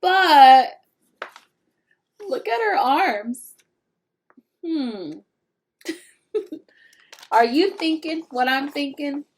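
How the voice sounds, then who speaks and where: A woman reading a children's picture book aloud expressively, pausing to put questions to young listeners. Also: high voice, expressive. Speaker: read-aloud storyteller.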